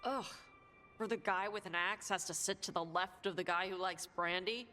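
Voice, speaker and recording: Gruff young female treasure hunter from a video game, low voice, few words, music underneath. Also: normal speed, sharp tone. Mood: grumbling, blunt. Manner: laconic, gruff, informal. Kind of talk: video game dialogue acting.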